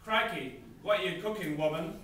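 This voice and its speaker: A man's voice: high-pitched, lively intonation, normal speed, fairly bright tone. A man as a bossy giant koala, echoey. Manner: barking blunt orders. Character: gruff, bossy, menacing giant male koala husband. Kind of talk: amateur comic puppet show. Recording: echoey room from far off, faint noise.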